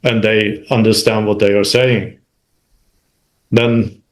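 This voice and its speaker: A man's voice: on the low side, little pitch movement, takes it slowly. Man recounting his career. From Sweden. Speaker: interview guest, manufacturing executive. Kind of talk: recounting career experience in conversation.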